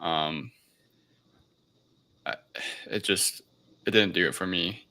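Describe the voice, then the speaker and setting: A man with a very low but bright, forward voice joins a casual film discussion as a panelist. He speaks tentatively, questioning himself as he explains his view. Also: slow pace. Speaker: panelist on a movie review show.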